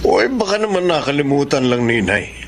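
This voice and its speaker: An actor playing an earnest, emotional brother in a Tagalog family radio drama. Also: male voice, on the high side, very animated, brisk, slight background noise. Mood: annoyed.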